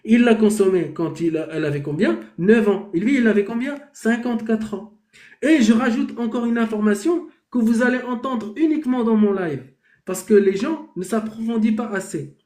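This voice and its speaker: A high-pitched man with a mellow, soft-edged tone argues his case on a live debate stream. He fires rhetorical questions and then answers them himself. The room around him rings with echo. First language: Arabic. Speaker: debate host.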